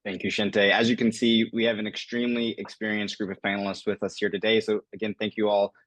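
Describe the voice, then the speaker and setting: A man's voice: on the low side, flat delivery, fast, a bit bright, no room sound. A man moderating a panel discussion, introducing guests in an upbeat, scripted way.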